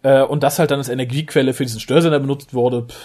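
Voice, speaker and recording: A man co-hosting a casual podcast chat, talking eagerly with many restarts and quick laughs. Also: mid-range voice, steady tone, darkish tone. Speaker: podcast co-host.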